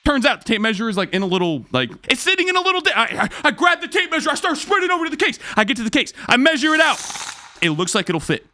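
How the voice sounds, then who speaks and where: Fast, high-pitched animated man telling a story. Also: slight background noise. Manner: excitable, slangy, repeats phrases for emphasis. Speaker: streamer. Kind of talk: animated casual storytelling to viewers.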